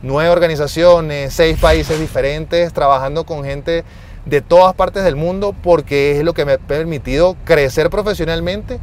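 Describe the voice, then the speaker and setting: A man's voice: a little high, normal speed. A man in his forties speaking emphatically as a guest in a conversational interview. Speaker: interview guest, financial executive. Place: inside a moving car. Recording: faint vehicle and street noise.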